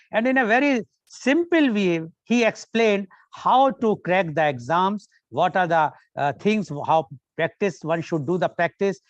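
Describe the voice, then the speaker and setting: An older man hosts a panel in a high voice, halting often as he heaps praise on his guest. The sound is dry and muffled, like an online call. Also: dry recording. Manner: halting, effusively appreciative, many fillers. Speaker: host and moderator. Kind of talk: panel talk on a video call.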